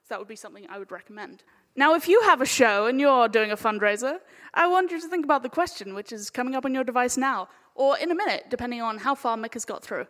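A woman's voice: a little high, lively intonation, quick pace, a bit bright. A woman chairing a live panel informally, with a jokey, cheeky manner. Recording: echoey hall with long reverb.

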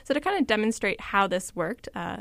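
A woman from a suburb of Washington, D.C., working as a reporter, telling stories in an animated, lively way. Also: medium pitch, fairly quick, gravelly, no room sound. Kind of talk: casual podcast chat.

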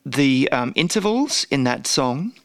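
A man's voice: mid-range voice, sing-song, a little slow, a bit bright, dry recording, clean studio sound. A man co-hosting a casual chat, talking with gushing enthusiasm. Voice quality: says he has a croaky voice. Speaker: podcast co-host.

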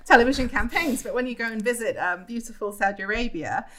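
A woman's voice: fairly high pitch, fast talker, a bit bright. A woman guest in a casual podcast interview who laughs easily and thinks aloud with many fillers. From born in the US, raised in the Middle East and North Africa.

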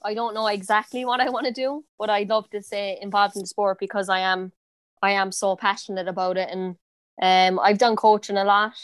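Brief: twenties woman, Cork accent, flat even delivery, smooth voice, dry close sound, easygoing interviewee with fillers. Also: mid-range voice, fairly quick, no echo. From Ireland. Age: 20s. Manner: relaxed, many fillers and restarts. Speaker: interviewee, footballer. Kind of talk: casual interview.

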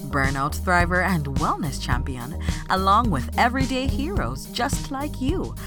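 A woman reading a scripted podcast intro in a dramatic, promotional way. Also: medium pitch. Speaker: show announcer. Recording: loud music bed.

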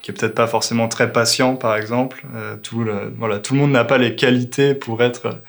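A man's voice: mid-range voice, flat delivery, a little fast. A man hosting a casual interview, speaking in an easygoing way and asking follow-up questions. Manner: easygoing, asks follow-up questions, short backchannels. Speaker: interviewer, host.

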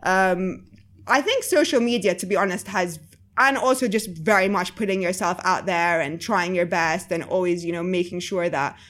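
A woman's voice: fairly low pitch, normal speed, a bit bright. Chatty woman with a slight Egyptian accent. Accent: slight Egyptian-influenced English. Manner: chatty, filler-heavy, laughs easily. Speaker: guest, writer. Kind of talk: casual interview chat.

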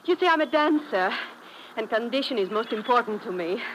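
An actress playing a poised young dancer in a 1950s radio drama, speaking formally and graciously. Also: female voice, fairly high pitch, animated, brisk, natural voice. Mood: gracious, coy. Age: young adult. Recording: noisy old radio broadcast recording.

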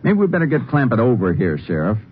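A man playing a steady Texas Ranger who narrates, in 1950s radio drama style, calm and clipped. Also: mid-range voice, fast talker, breathy edge. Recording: old radio broadcast, tinny phone-like band with music.

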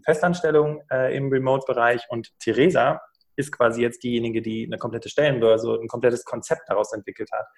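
A man's voice: mid-range voice, darkish tone. A male podcast host who interviews a guest in a friendly way, with fillers and restarts.

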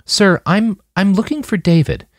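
Audiobook role, played by male narrator: earnest, hesitant teenage girl, lifted pitch, dry sound. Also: lively intonation, moderate pace, no echo.

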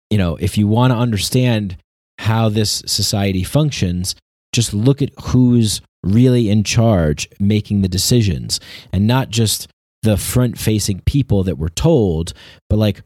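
Male commentator, lowish pitch, dry close sound; earnest off-the-cuff opinion talk with halting, repeated phrases. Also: a little mellow, dry recording. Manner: halting, repetitive, searching for words. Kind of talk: unscripted opinion monologue.

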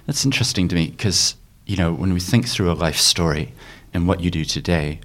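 Host: man, very deep voice, encouraging interviewer asking probing questions. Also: fairly quick, a bit bright. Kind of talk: conversational interview.

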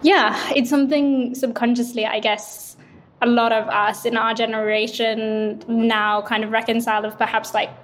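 Young female founder from Sri Lanka, casual interviewee, slightly high voice, faint background hiss. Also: flat delivery, moderate pace. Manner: casual, reflective, frequent "like" fillers. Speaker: startup founder. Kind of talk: interview conversation.

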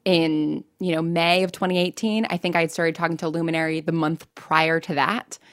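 Chatty American woman, lowish voice, close and dry. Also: little pitch movement, moderate pace, fairly bright tone, dry recording. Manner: chatty, self-deprecating, quotes own thoughts. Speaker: interview guest, podcast writer and producer. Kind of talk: casual interview storytelling.

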